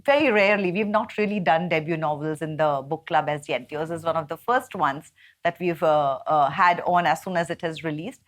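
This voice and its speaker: Low-voiced woman host. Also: moderate pace. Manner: warm, enthusiastic, affirming. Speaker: book club host. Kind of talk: hosted interview.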